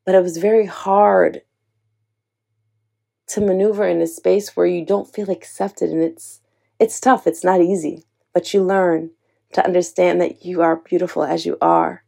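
Husky-edged warm woman talking earnestly. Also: fairly low pitch, fairly even intonation, a little slow. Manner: earnest, encouraging, addresses listener as sis. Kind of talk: heartfelt personal talk to camera.

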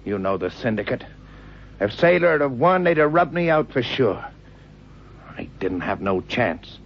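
Gravelly, excitable cornered crook. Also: male voice, medium pitch, moderate pace, full character voice. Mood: desperate. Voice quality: gravelly, husky. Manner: excitable, streetwise. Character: cornered, defiant male boxing second turned killer. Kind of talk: radio crime drama acting. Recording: dull, lo-fi old radio recording.

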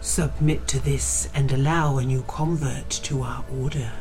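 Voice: medium pitch, steady tone, unhurried, a little mellow, soft background music, lightly acted. An actor doing a whispering cult elder. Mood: commanding. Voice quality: breathy whisper.

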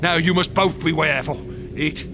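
An actor playing a deranged, fanatical wax sculptor in a horror radio drama, theatrical and gloating. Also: male voice, fairly high pitch, fairly even intonation, moderate pace, over music, close to an everyday voice. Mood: menacing gloating. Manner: theatrical, swinging from whispers to shrieks, cackling.

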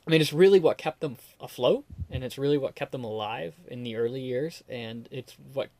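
A man's voice: medium pitch, a little fast, darkish tone, no echo. A man hosting a casual podcast chat, talking in a rambling way with lots of fillers. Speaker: podcast host.

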